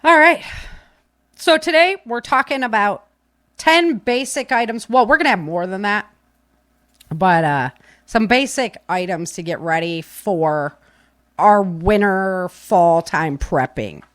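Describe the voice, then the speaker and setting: A woman's voice: mid-range voice, lively intonation, takes it slowly, a bit bright, dry recording, studio quality. A woman hosting a podcast, chatting informally to live listeners in a loose, rambling way. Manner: informal, filler-heavy, rambling. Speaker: podcast host. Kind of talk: casual chat to live listeners. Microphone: webcam stream setup, set back from keyboard.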